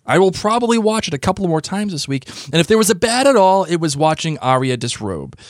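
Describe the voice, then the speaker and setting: A man's voice: high-pitched, fairly quick, no echo, studio quality. A man from Denmark co-hosting a fan podcast, reading listener emails aloud and joking between them. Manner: jokey, laughs often, animated reading. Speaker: podcast co-host. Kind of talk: casual chat, reading listener feedback aloud.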